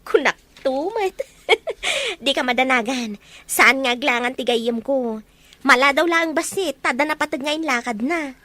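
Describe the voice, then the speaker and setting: A woman playing a lively young matchmaking best friend in an Ilocano romance drama, teasing and coaxing. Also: high-pitched, unhurried, no echo, heavily acted.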